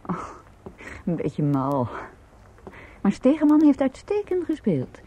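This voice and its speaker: An actress playing a composed middle-aged mother in a radio play, chatting gently in an unhurried, conversational way. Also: on the high side, huge pitch swings, full character voice. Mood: chatty, amused. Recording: dull, muffled old radio recording.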